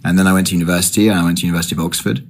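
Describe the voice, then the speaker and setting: A man in his twenties with a London accent, telling a story in casual conversation with many restarts and self-corrections, and growing lively when he gets excited. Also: low-pitched, rapid-fire. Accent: London English. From England. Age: 20s. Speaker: guest.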